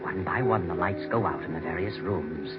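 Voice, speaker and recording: A man's voice: sits low, moderate pace. A man narrating a 1940s radio mystery in a dramatic, scripted style. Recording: muffled 1940s radio recording. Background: music.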